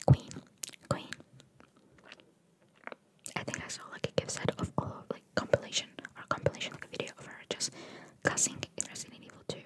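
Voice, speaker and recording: A woman whispering in an ASMR style, reading trivia aloud with chatty, informal asides in a breathy, gravelly whisper. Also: a little fast, pauses often. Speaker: ASMR video host.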